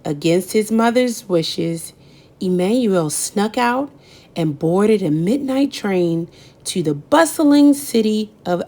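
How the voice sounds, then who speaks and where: A woman reading a children's picture book aloud in an expressive storytelling style, pausing for effect. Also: medium pitch. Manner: slow, lively storytelling with dramatic pauses. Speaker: read-aloud narrator.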